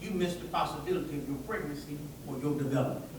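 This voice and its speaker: A man preaching an animated, folksy sermon to listeners, tossing out rhetorical questions and colloquial asides. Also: on the high side, quick pace, slight background noise. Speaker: preacher giving a public religious address. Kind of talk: animated sermon with call-and-response to a live audience. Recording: echoey hall, distant microphone.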